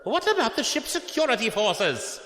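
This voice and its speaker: An actor playing an imperious, exasperated imperial fleet commodore in a sci-fi audio drama, giving sharp commands. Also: male voice, very high-pitched, big pitch swings, normal speed, heavily acted. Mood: alarmed. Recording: long hall reverb, noisy ambience.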